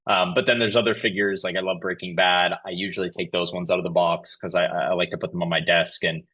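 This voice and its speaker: A man's voice: low voice, little pitch movement, fast talker, bright, forceful tone, husky. A man answering interview questions in a conversational, explanatory way, often saying "you know". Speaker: podcast guest, company chief executive.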